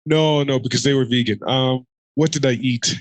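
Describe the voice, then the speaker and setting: A man's voice: medium pitch, fairly even intonation, moderate pace, no echo. A man co-hosting a podcast in casual chat, rambling, laughing often and a little self-conscious. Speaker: podcast co-host.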